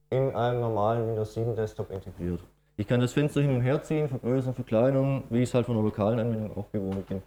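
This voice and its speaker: A man's voice: mid-range voice, mellow. A middle-aged man with a Swabian accent giving a matter-of-fact technical conference presentation, with frequent fillers. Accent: Swabian German. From Swabia, Germany. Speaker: conference speaker.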